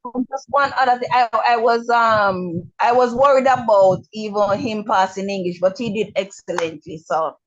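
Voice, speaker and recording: A middle-aged Jamaican woman on a video call, speaking frankly with repeated words and frequent pauses. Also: mid-range voice, lo-fi sound. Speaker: webinar attendee. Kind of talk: casual remarks on a video call.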